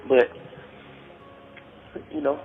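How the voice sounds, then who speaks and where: A man's voice: very slow, faint music behind. A man talking in a loose, rambling casual chat, repeating filler words as he goes. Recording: tinny phone-like clip with faint noise.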